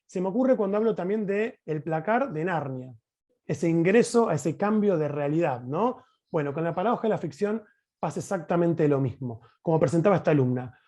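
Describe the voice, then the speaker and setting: An Argentine man speaks as a teacher giving a talk on a video call. His voice is high for a man and mellow, with very lively, swooping intonation. He explains expressively, stressing points and checking in with questions. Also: brisk. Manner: expressive, emphatic, uses rhetorical questions. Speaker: teacher presenting at a conference.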